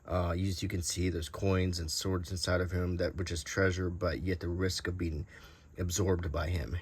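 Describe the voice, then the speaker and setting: A man hosting an unscripted show-and-tell, talking casually and thinking aloud in a rambling, unhurried way. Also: low-pitched, level tone, breathy edge. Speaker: host showing vintage game cards.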